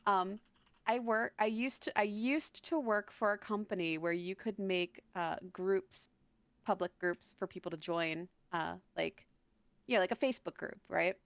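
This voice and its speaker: A woman talks casually as a stream guest. Her voice is medium in pitch, recorded close and dry with no room sound. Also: a little slow, clear, resonant tone, no room sound. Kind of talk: casual chat.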